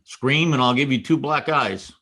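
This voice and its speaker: A man's voice: medium pitch, normal speed, sharp tone, a little hiss or hum, lightly acted. An American man playing a tough, wry private detective in a 1940s radio noir style, clipped and slangy. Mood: tough, impatient. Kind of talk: 1940s radio noir acting with first-person narration.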